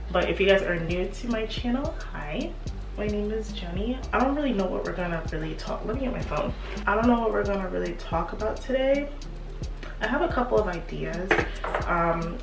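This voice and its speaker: A young woman with a congested voice from a cold, chatting casually as a beauty vlogger getting ready at home, with lots of fillers and laughs. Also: on the low side. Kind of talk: casual get-ready-with-me chat. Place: home apartment room. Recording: echoey room, mic at a distance, music behind.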